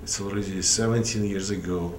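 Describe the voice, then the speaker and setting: Low slow russian guy being interviewed, lots of pauses, echoey room. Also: fairly even intonation. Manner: halting, with long pauses. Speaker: puppeteer interviewee. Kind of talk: documentary interview. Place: indoor puppet theater, seated interview. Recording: roomy echo with faint noise.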